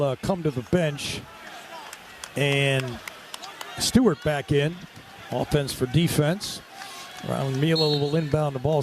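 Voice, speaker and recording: A man calling live radio basketball play-by-play in clipped, excitable running descriptions. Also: fairly high pitch. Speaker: play-by-play announcer. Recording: courtside with loud crowd and gym noise. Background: crowd and arena ambience.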